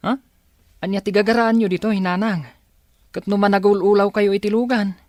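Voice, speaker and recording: A man's voice: high-pitched, level tone, brisk, a little mellow, dry recording, clean studio sound, natural voice. A man acting an earnest, respectful young son in an Ilocano radio drama. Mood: surprised, dutiful. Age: young adult.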